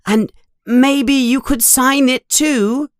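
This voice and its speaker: A man voicing a small, nervous schoolboy fan with a high, thin voice and an eager, gushing manner in a storybook reading. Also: huge pitch swings, slow pace, no room sound, clean studio sound, full character voice. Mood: excited.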